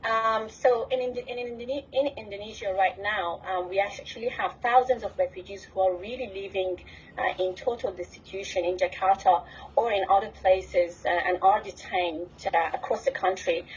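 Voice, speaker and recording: A woman from Afghanistan giving a presentation as a refugee advocate on an online call, speaking haltingly with frequent pauses mid-phrase. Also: medium pitch, fairly even intonation, slight background noise. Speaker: refugee advocate, network executive director. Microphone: computer on an online call. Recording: muffled lo-fi computer call audio.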